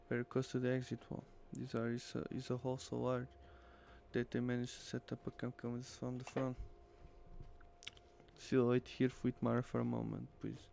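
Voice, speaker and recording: A man reads story narration aloud in a gravelly, mid-pitched voice. His delivery is halting and stumbles over words, like an amateur storyteller. There is faint noise behind him. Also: darkish tone. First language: Portuguese. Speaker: streamer reading a visual novel aloud. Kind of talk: amateur dramatic read-aloud of visual novel narration.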